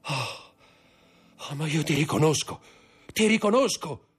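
A man voicing a desperate royal prosecutor, undone by grief and terror, his husky voice cracking upward into shrill cries. Every line is pushed hard and high, far above the reader's own low register. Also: expressive, measured pace, full character voice. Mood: shocked.

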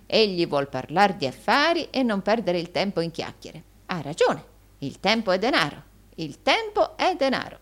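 A woman voicing a sly old male moneylender in an audiobook, gushing flattery in repeated exclamations. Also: mid-range voice, normal speed, pitched above the performer's own voice, close to an everyday voice. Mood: wheedling. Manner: gushing, repetitive, exclaiming with groans and chuckles.

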